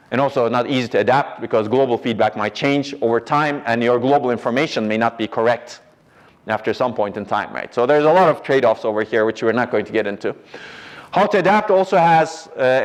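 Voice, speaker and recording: A middle-aged man teaching in a university lecture hall, walking and pointing as he explains. He talks in a patient, explanatory way, often pausing to ask "right?" and restarting his sentences. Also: medium pitch.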